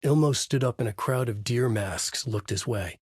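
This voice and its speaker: An actor playing a haunted male horror writer who narrates in a measured, brooding way in a dry studio booth. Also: mid-range voice, a little mellow, breathy edge, no echo, close to an everyday voice. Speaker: video game character narrating. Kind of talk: game voice lines, dark narration. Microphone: studio mic.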